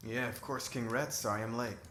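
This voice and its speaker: A man's voice: mid-range voice, fairly even intonation, brisk, pushed and bright, natural voice. A man playing a cocky, laid-back warrior commander in an ASMR roleplay, talking in a casual, slangy, flirtatious way. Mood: nonchalant.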